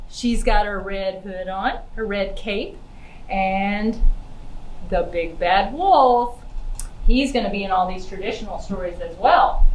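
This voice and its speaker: Animated woman presenting to children, echoey room. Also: fairly high pitch, slight background noise. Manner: chatty, simple address to children, posing questions. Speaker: library outreach puppeteer and presenter. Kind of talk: children's library book talk. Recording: roomy, echoey indoor sound.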